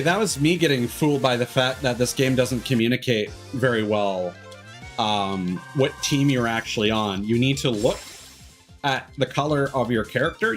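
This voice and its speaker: Male game streamer, slightly low-to-middling pitch, casual and opinionated, rambling and swearing freely over prominent background music. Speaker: livestreamer.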